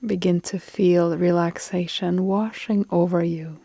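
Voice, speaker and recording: A woman guiding a relaxation meditation in a calm, soothing, deliberate way with long pauses, her soft phrases trailing into breath. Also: fairly low pitch, creaky, slightly rough, no room sound, studio quality. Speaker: yoga nidra meditation guide.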